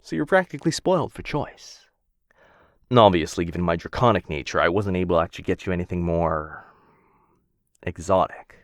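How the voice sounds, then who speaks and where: A man's voice: sits low, animated, fairly quick, dry recording, clean studio sound. A man voicing a possessive, doting dragon captor in a boyfriend ASMR fantasy roleplay, theatrical and coaxing, with hesitant musing. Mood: boastful, eager.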